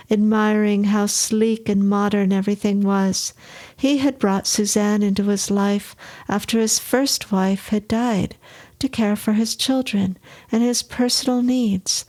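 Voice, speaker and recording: Slow-paced woman reading a short story. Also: medium pitch, a little mellow. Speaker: story narrator. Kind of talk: scripted short story narration.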